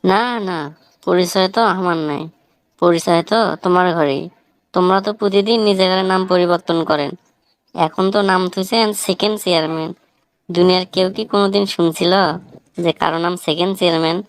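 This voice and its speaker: A woman's voice: fairly low pitch, steady tone, brisk, clear, resonant tone, dry recording, lightly acted. A woman voicing a witty, defiant young village man in a comic cartoon, with a northern Bangladeshi accent and a wry, argumentative manner. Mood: teasing, accusing. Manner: argumentative, wry, rhetorical questioning.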